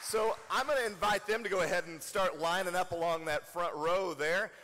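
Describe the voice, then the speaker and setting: A man's voice: sits high, normal speed. Man welcoming a congregation in a hall. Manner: friendly, relaxed announcements with fillers. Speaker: pastor. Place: large church sanctuary. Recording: long hall reverb.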